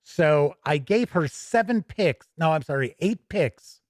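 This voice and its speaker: A man hosting a tabletop gaming livestream, chatting casually with viewers in a folksy, jokey, animated way. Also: a little high, a little slow, no room sound, clean studio sound.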